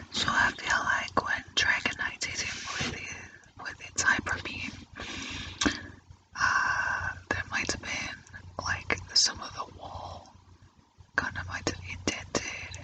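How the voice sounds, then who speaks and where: A man whispering an unhurried, musing ASMR commentary as he draws. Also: sharp tone, poor audio. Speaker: ASMR artist, host.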